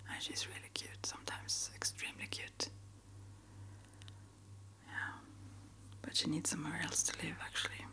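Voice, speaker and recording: A woman speaking in a gentle, hesitant, rambling way, as an ASMR creator chatting to her viewers. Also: low-pitched, vocal fry. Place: small upstairs apartment.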